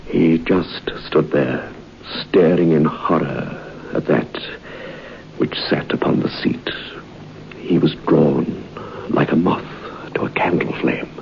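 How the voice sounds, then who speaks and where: A low-pitched man tells a grim story in a grave, measured way. It sounds like a vintage radio drama, with a muffled, lo-fi tone and faint hiss. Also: creaky. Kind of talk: 1950s radio drama acting. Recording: dull old radio broadcast with hiss.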